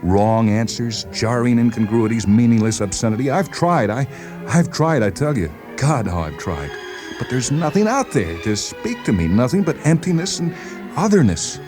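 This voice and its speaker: A man playing an agitated, paranoid patient in a dramatized story, insistent, halting and repeating himself. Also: mid-range voice, sing-song, music in the background, close to an everyday voice. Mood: despairing. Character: agitated paranoid male patient convinced the world conspires against him. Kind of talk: dramatized short story reading.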